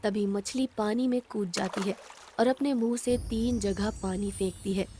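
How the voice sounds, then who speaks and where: A woman's voice: medium pitch, steady tone, normal speed, warm tone, slightly rough. A woman narrator reading a scripted moral story.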